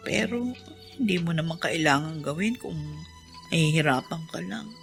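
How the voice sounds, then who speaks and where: A woman playing a gentle, resigned, ailing middle-aged mother in a Filipino radio drama, with a Filipino accent. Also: low voice, animated, moderate pace, gravelly, faint music behind. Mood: gentle resignation.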